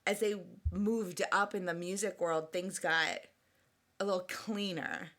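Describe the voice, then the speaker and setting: A woman's voice: fairly low pitch, fairly even intonation, unhurried, fairly bright tone. A woman co-hosting a podcast in casual chat, giggly and chatty, often restarting her sentences. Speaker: podcast co-host.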